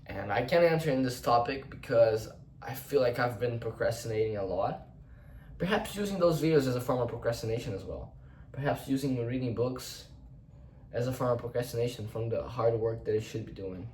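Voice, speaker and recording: Young guy, portuguese is his first language, rambling and thinking out loud, mid-pitched, faint hum behind. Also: recorded from a distance. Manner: reflective, rambling, halting restarts, casual swearing. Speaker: vlogger. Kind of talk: unscripted personal monologue. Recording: roomy echo, voice set back from the mic.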